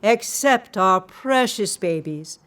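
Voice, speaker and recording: A woman playing a battle-scarred, protective cat who leads her village, speaking in a grand, measured, declamatory way in an audio drama. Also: medium pitch, lively intonation, a bit bright. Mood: proud, welcoming.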